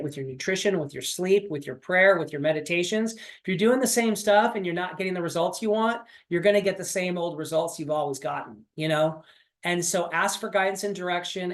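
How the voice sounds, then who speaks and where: A man's voice: high-pitched, a bit bright. Man in his forties giving a motivational coaching pep talk. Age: 40s. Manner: coaching, repetitive, pep-talk with many fillers. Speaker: sales coach. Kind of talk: motivational training call.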